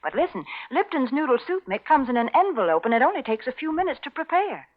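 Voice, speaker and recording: A slightly dull old radio recording carries a woman's fairly high, very animated voice. She delivers sponsor messages in a friendly, homey 1940s advertising style. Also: fast. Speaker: commercial spokeswoman.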